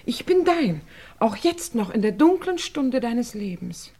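An actress playing a devoted, calculating fiancée of a lord in a theatrical, gushing manner in an old crime radio play. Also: female voice, on the high side, big pitch swings, unhurried, warm tone, husky, full character voice. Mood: gushing devotion. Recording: vintage radio play, music behind.

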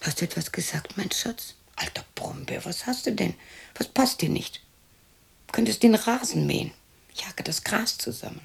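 An actress in a radio play playing a lonely sixty-year-old widow who murmurs to herself in a breathy voice, by turns tender and grumbling. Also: female voice, mid-range voice, lively intonation, moderate pace, whispered, heavily acted. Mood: fussing, coaxing. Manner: murmured self-talk, swinging between cooing and scolding. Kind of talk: radio play monologue, intimate acting.

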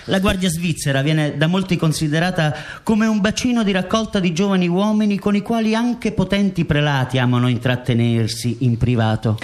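Fairly high-voiced male narrator. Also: a little fast, few pauses, darkish tone. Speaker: narrator in a radio play. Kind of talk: scripted documentary-drama narration.

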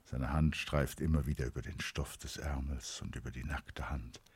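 An actor as a grave, brooding narrator of a literary radio drama, with a husky, breathy voice. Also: male voice, very deep voice, fairly even intonation, normal speed, exaggerated voice. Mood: brooding, hushed.

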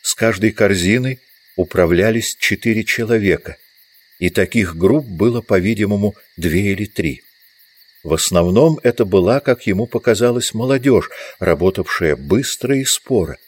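A man's voice: lowish voice, lively intonation, moderate pace. A man reading scripted literary narration as the narrator of an audio drama.

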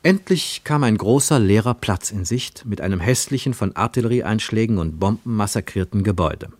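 A man narrating a literary radio play in a measured, precise, wry storytelling manner. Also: on the low side, lively intonation, darkish tone, no room sound. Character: measured, wry male narrator of a travel tale.

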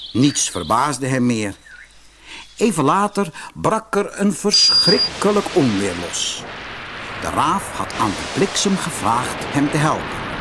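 A man's voice: medium pitch, noisy background. A man reading a fairy tale aloud as a scripted audiobook narrator.

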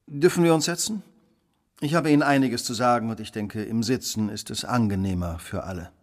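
An actor playing a shrewd, self-assured detective who speaks precisely and probingly in a radio play. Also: male voice, mid-range voice, fairly quick, lightly acted. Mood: probing, composed. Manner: precise, probing, imperious deduction. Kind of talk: dramatic radio play dialogue.

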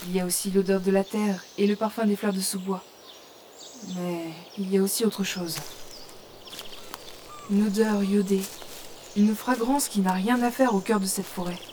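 Novice game-world archer, played by a woman: mid-pitched, contemplative audio drama narration, immersed, faint forest ambience behind. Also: fairly even intonation, normal speed, pauses often, dark tone, a little hiss or hum, close to an everyday voice. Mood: intrigued, wary. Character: female novice archer in virtual world, awestruck, observant. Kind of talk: French audio fiction, descriptive first-person narration. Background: forest ambience with birds and insects.

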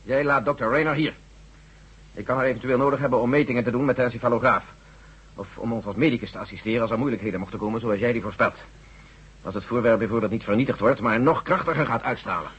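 An actor playing a curt, dutiful army general in a radio drama, speaking in a clipped, authoritative and husky voice. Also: male voice, mid-range voice, fast, fairly bright tone. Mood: conceding. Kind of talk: Dutch radio drama acting. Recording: dull, lo-fi old radio recording.

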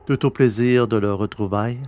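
A man's voice: on the low side, fairly even intonation, normal speed, darkish tone. A man reading scripted story narration in the role of narrator.

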